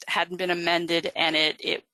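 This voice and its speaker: A woman's voice: fairly low pitch, level tone, measured pace, sharp tone, no room sound. A woman genealogist giving a live webinar lecture, explaining step by step with frequent fillers. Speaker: genealogist presenter.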